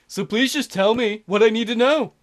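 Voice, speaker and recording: A cold, scheming kidnapper boss, put on by a man who keeps his threats smooth and polite. The voice sits fairly high for a man, with no growl to it. The delivery is calm and unhurried. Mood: calm menace.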